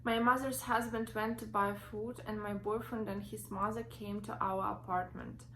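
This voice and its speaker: A woman's voice: medium pitch, level tone, pushed and bright, low-quality recording. Ukrainian girl in her 20s, reads her story off her phone, stops a lot, um uh, bit of noise behind. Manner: halting, with many fillers and restarts. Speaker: vlogger. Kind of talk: reading a personal story from notes.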